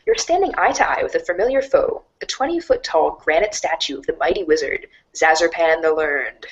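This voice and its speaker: A woman voicing a wry, mock-grandiose storybook narrator in a dramatic read-along style, deadpan and sardonic. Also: sits low, brisk, highs cut off. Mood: mock-grand. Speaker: narrator of a fan readers' theater show.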